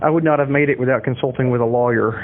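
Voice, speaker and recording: A man in a casual phone conversation, speaking in a hesitant, halting way on a tinny phone recording. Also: medium pitch, steady tone. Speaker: caller. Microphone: phone call recording.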